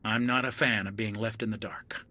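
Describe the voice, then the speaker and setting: A man's voice: on the low side, steady tone, moderate pace, pushed and bright. An actor playing a wary young male soldier in a video game cutscene, speaking in an earnest, suspicious, level-headed way.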